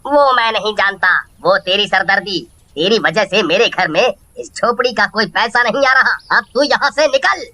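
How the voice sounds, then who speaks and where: A woman's voice: mid-range voice, moderate pace, bright, forceful tone. A woman voicing a stern, impatient male landlord in a children's cartoon story, curt and scolding. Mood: harsh.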